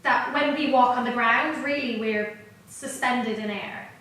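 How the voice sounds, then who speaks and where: A woman's voice: on the high side, unhurried, fairly bright tone, a little hiss or hum. A woman playing an earnest, wounded, analytical mathematician in a dramatic staged reading, reflective and hesitant. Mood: wistful, hurt. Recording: very echoey room, distant mic, music.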